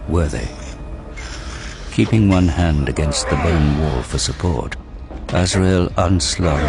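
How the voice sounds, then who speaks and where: A man giving a measured, grave, scripted narration of a dramatic audio story. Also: a bass voice. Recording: music behind the voice.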